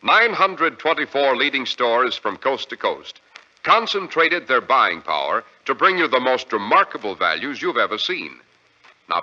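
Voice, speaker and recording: American guy doing old-time radio ad, punchy bright voice, lively emphatic sales pitch, muffled sound. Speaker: radio announcer reading sponsor ad. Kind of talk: 1940s radio advertising pitch.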